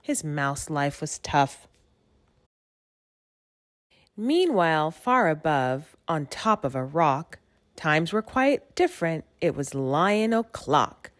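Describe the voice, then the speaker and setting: A woman giving an expressive read-aloud narration of a rhyming children's story. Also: low voice, slow, dry recording, studio quality. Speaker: storybook reader.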